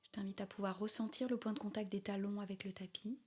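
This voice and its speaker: A woman leading a guided yoga nidra meditation in a soothing, unhurried way, with soft, breathy phrase endings. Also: medium pitch, steady tone, background noise. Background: meditation music with chimes.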